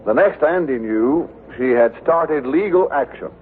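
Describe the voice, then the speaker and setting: A man's voice: a little high, lively intonation, unhurried, breathy edge. A man narrating a vintage radio show in a scripted storytelling style. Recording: tinny, faintly hissy vintage radio.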